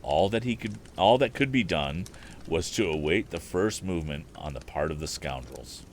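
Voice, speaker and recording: A man narrating a story as its host, reading live with expressive, deliberate phrasing. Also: sits low, a little hiss or hum.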